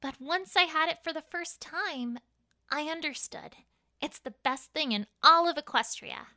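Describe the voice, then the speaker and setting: A woman's voice: high voice, unhurried, bright, forceful tone, dry recording, studio quality, exaggerated voice. A woman voicing an earnest, friendly young unicorn mare in a cartoon fan story, chatty among friends. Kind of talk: cartoon fan fiction voice acting.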